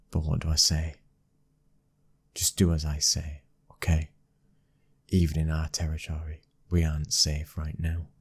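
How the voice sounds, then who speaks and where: A British man playing a young mafia boss's son, a protective, controlling husband, in an intimate ASMR roleplay, with a husky, breathy voice and a commanding manner. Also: a bass voice, full character voice. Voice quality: husky, breathy, sometimes dropping to a whisper. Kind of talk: ASMR boyfriend roleplay audio drama.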